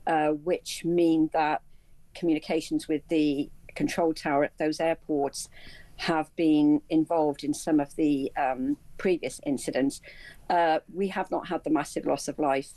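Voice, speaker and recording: A woman speaking as an expert guest in a live news interview, explaining in halting phrases with repeated words. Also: sits low, steady tone, mellow, no room sound, poor audio. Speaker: aviation and travel commentator, interview guest.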